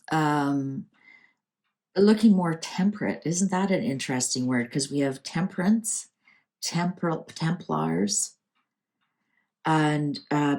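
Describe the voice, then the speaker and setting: A woman's voice: sits low, darkish tone. A woman with a Canadian accent hosting a casual, improvised tarot reading, thinking aloud in a conversational way. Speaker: tarot reader and channel host.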